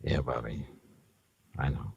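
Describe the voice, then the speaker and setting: An actor playing a wry, kindly insurance investigator, with an American accent, narrating and talking in 1950s radio drama style. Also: male voice, rumbling low, fairly even intonation, measured pace, creaky, husky, no echo, highs cut off, full character voice. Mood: gentle, coaxing. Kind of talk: 1950s radio detective acting, first-person narration. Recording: 1950s radio recording with music.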